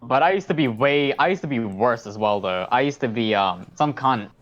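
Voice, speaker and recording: A man rambles through a casual podcast story, full of fillers and swearing. His pitch sits right in the middle for a man. The recording is a little dull and lo-fi. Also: animated, normal speed. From South Auckland, New Zealand. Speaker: podcast co-host.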